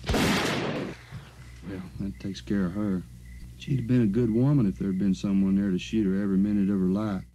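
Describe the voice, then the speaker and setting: Man playing a low, dark-voiced cold killer. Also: fairly even intonation, normal speed, pauses often, lightly acted. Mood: cold, menacing. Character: cold, cynical escaped killer in amateur audio drama. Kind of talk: amateur audio drama acting. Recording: amateur 1980s audio drama, faint noise.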